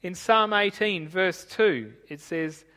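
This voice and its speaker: A man with a high, ringing voice delivers a sermon from notes, steady and explanatory. His words trail off into the long echo of a big church hall. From Tanzania. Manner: measured, explanatory, reading from notes. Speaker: preacher.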